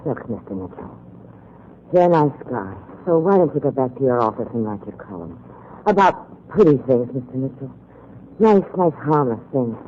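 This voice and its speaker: Female nervous, evasive American noir dame, muffled vintage radio play sound with clear noise. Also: a bass voice, sing-song, normal speed. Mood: guarded, pleading. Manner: guarded, evasive. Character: frightened, evasive dame in a noir crime story. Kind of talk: 1940s radio noir acting. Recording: muffled, noisy 1940s radio recording.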